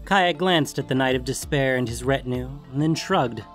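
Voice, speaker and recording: A man's voice: medium pitch, moderate pace, fairly bright tone, soft background music. A man acting as narrator, reading scripted fantasy fiction.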